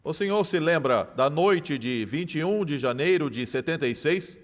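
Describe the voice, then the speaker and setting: A man's voice: a little high, lively intonation, long reverb tail, close to an everyday voice. A man playing a probing courtroom lawyer. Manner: probing, measured, theatrical questioning. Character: sharp, persistent male courtroom lawyer. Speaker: lawyer cross-examining a witness. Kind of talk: dubbed film courtroom drama.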